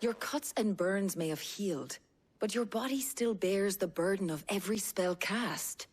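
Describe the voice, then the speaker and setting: A caring but stern guardian in a fantasy game cutscene. She has a husky, breathy voice of middle pitch and speaks formally, in an admonishing way. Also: unhurried. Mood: reproving concern. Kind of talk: dramatic video game cutscene acting.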